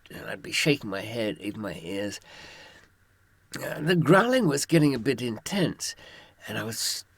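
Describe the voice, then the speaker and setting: A man's voice: mid-range voice, very animated, normal speed, husky. An older man, telling anecdotes and giving advice from experience in a wry, droll raconteur's manner. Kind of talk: anecdotal storytelling to listeners.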